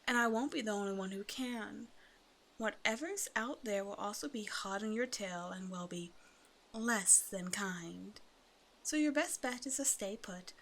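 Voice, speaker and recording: A woman's voice: mid-range voice, a bit bright, close to an everyday voice. A woman playing a predatory female naga in an ASMR roleplay, talking to the listener with teasing, condescending, casual menace. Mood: cold warning. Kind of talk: ASMR roleplay, one-sided scripted dialogue to the listener.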